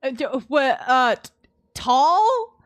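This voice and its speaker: A woman's voice: sits high, very animated, slow, no room sound. A woman livestreaming a game with casual, gushing, giggly and slangy commentary.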